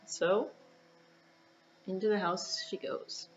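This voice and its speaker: A woman's voice: mid-range voice, fairly even intonation, slow, dull audio, slight background noise. A woman telling a puppet show story to young children, in simple phrases with spoken sound effects.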